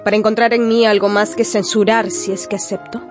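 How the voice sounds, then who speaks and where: An actress playing a spirited, proud young gentlewoman, polite but firm and challenging, in a radio drama. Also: mid-range voice, level tone, a little fast, music in the background, natural voice. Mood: defiant.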